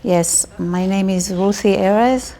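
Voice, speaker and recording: A woman's voice: medium pitch, unhurried, mellow. A middle-aged woman from Israel with an Israeli accent, telling personal stories casually as an interviewee.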